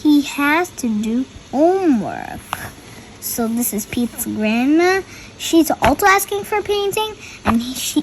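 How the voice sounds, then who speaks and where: A young girl reads a picture book aloud in a high voice. She takes her time and stops to explain the pictures, with faint noise behind her. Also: low-quality recording. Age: child.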